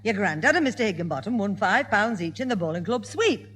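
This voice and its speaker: An actress playing a brisk, bossy middle-aged mother in a vintage radio sitcom. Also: mid-range voice, sing-song, a bit bright, breathy edge. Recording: hissy 1970 radio comedy recording.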